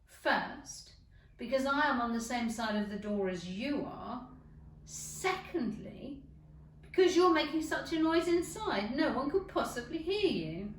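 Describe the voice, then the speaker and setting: A storybook frog footman played by a woman, solemn, obtuse and utterly unbothered. His speech is drawn out and swings widely in pitch. It is picked up from a distance in an echoey room. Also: lively intonation, unhurried, a little hiss or hum. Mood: matter-of-fact, contrary. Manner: solemn, contrary, oblivious. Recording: roomy echo, distant mic.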